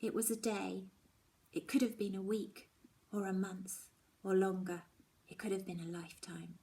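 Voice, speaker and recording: Female narrator, children's book reading, expressive, dramatic, hushed dips, mid-range pitch. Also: moderate pace, creaky. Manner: dramatic, drops toward hushed tones. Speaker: story reader.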